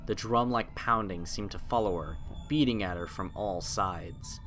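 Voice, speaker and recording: Low-voiced man narrating horror fiction. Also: unhurried, a bit bright. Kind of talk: scripted horror story narration. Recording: music clearly behind the voice.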